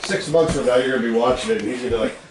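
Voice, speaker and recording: A man's voice: medium pitch, very fast, noisy background. A man chatting casually in a joking way. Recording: chatty crowd, amateur camera.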